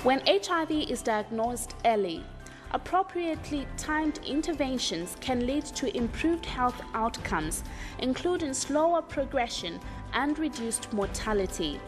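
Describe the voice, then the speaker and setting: A woman reading scripted health education narration for a documentary in a measured, formal way. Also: sits high, big pitch swings. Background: music.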